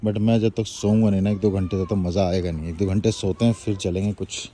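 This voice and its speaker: Thirties male travel vlogger, selfie phone mic, outdoors, slightly low voice, chatty and excitable, light traffic noise behind. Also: quick pace. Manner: chatty, exclaiming over the scenery. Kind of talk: casual travel vlog. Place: outdoors on a mountain road. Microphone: phone at arm's length.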